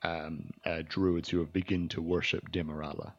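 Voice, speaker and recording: A man narrating as game master in a halting way, full of fillers and restarts. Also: sits low, little pitch movement, muffled recording.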